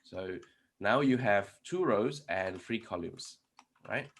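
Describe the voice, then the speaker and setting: A man's voice: lowish voice, lively intonation, slow pace, fairly bright tone. A man giving a conference talk on programming, explaining step by step with many restarts and rhetorical questions while typing code. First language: not Polish. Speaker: conference speaker. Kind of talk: live-coding tech talk.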